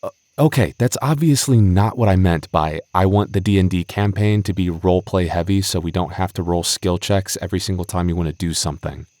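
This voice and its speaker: A man's voice: sits low, a little fast, darkish tone, no room sound, clean studio sound, close to an everyday voice. A man acting an exasperated young male game master and childhood friend in an audio roleplay, with a hesitant manner. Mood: frustrated, confronting.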